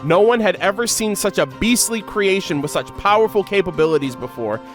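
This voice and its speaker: A man hosting a reaction video, reading game lore aloud in an expressive way with casual, slangy asides. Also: high-pitched, fairly quick, faint music behind.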